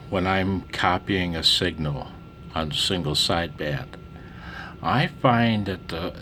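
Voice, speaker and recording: A man with a deep voice talks slowly through a casual demonstration. His phrasing is colloquial and a little salty, like a hobbyist sharing a tip. There is some noise behind him. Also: fairly bright tone. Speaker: amateur radio operator giving a tip.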